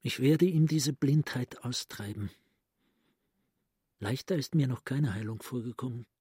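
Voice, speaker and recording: A man's voice: medium pitch, unhurried, dark tone, slightly rough, no echo, clean studio sound. A male actor playing a cultured, introspective psychiatrist in a literary radio play. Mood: self-assured. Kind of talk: literary radio drama monologue.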